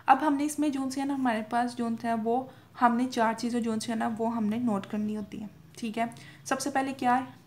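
Young woman teacher, kinda high voice, explaining stuff from notes, repeats herself, keeps adding little check-in tags, drops in english terms. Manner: repetitive, with frequent checking tags; mixes English technical terms into speech. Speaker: medical lecturer. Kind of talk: informal explanatory lecture from notes.

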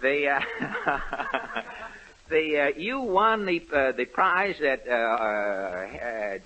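A man's voice: medium pitch, animated, extremely slow, pushed and bright, dull audio. A man hosting a 1930s radio amateur contest, wry and joking, stumbling over many uh's on an old broadcast recording. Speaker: radio show host.

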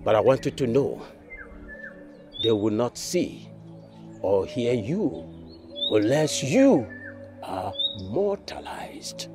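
An actor playing a solemn male spirit who guides the dead, speaking slowly and gravely. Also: mid-range voice, very animated. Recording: music under the voice.

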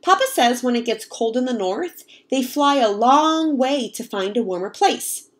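A young woman reading a picture book aloud to children in an expressive, explanatory way. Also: on the high side, unhurried. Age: young adult. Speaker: read-aloud storyteller, teacher. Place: home kitchen, indoors.

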